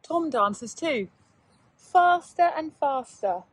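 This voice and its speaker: A woman teacher reading aloud and chatting to young pupils in a measured, child-directed way. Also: high voice, animated, dry recording. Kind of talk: children's read-aloud and informal lesson talk.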